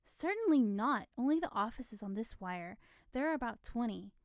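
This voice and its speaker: A harried young telegraph girl, as a woman reading the story voices her. She speaks higher and louder than the narrator and snaps her short answers with clipped impatience. The recording is close and dry. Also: normal speed, dry recording, close to an everyday voice. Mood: impatient. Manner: tart, impatient replies.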